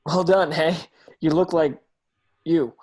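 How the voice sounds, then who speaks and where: Casting: a man as an urgent young wizard hero, higher-pitched and husky, for a script read. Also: fairly even intonation, slow, a little mellow. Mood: relieved. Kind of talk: amateur screenplay table read.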